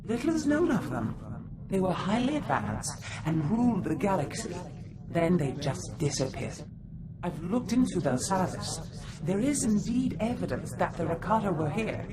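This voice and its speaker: A woman's voice: lowish voice, big pitch swings, normal speed, crowd noise. A woman voicing an earnest, formal, scholarly advisor in a game cutscene. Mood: earnest, explanatory.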